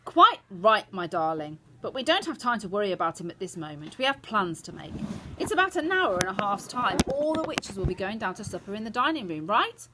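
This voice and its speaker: A woman voicing an old grandmother in a storybook, patient but firm and affectionate, with measured, emphatic phrasing. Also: medium pitch, sing-song, noisy background, natural voice. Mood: conspiratorial, admiring. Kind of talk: storybook character voice.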